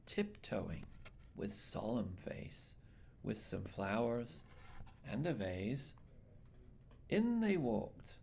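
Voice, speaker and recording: A man reading a children's picture book aloud in an expressive, measured storytelling style with dramatic phrasing. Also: medium pitch, vocal fry, husky. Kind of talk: expressive bedtime read-aloud narration.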